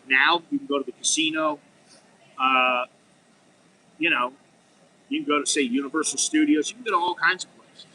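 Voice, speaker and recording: A man's voice: fairly high pitch, sharp tone, a little hiss or hum, poor audio. A middle-aged American man talking frankly in a rambling, unscripted monologue, full of restarts and "you know.". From United States. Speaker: vlogger.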